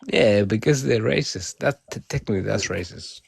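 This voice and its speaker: A man's voice: lowish voice, moderate pace, creaky. A man from South Sudan chatting casually on a live audio stream, rambling and restarting his phrases.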